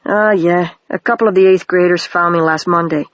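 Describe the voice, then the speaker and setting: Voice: mid-range voice, fairly even intonation, a little fast, a bit bright, no echo, natural voice. A reader voicing a shy, earnest young boy who is a wizard, in a mildly raised version of their plain reading voice, on a dry, dull lo-fi home recording.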